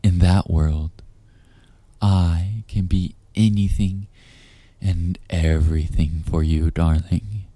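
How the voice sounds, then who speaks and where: A man speaking in a hushed, teasing, deliberate way straight to the listener, as in an intimate audio roleplay, close on the mic. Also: low-pitched, fairly even intonation, dry recording. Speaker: voice actor.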